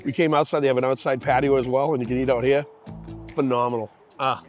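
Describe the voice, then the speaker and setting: A man's voice: medium pitch, fairly even intonation, fast talker, faint music behind. A man with a Boston accent hosting a casual travel and food vlog, chatting in a rambling, enthusiastic way. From Boston area. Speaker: travel show host.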